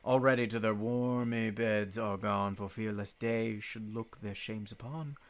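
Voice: mid-range voice, steady tone, unhurried, natural voice. An actor playing a mischievous goblin spirit in a Shakespearean radio play, delivering playful rhymes in a theatrical way. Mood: urgent, eerie. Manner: playful, rhythmic rhyming.

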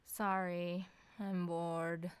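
A woman's voice: on the low side, flat delivery, extremely slow, clean tone, no room sound. A woman playing a sarcastic young mother who needles her partner with dry mockery in an audio drama. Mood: feigned boredom. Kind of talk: two-hander audio drama reading.

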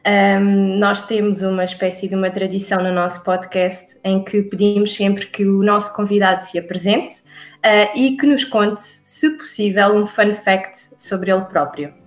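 A woman's voice: medium pitch, fairly even intonation, a little slow, soft background music. Portuguese woman host, echoey sound. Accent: European Portuguese. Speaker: podcast co-host. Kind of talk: podcast interview.

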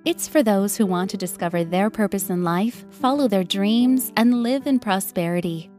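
A woman reading a scripted podcast intro and promo in a measured way. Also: mid-range voice, warm tone, soft background music. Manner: slow, measured read. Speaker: podcast announcer.

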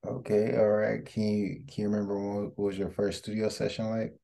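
A man hosts a casual interview, laid-back and quick to affirm with slang. His voice is very deep and extremely dark, with a flat, even delivery. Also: vocal fry. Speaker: interview host.

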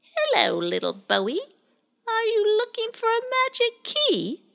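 A woman voicing a sly, scornful male blackbird in a storybook, with a very high, drawn-out tone. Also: wildly swooping pitch, gravelly, fairly bright tone, exaggerated voice.

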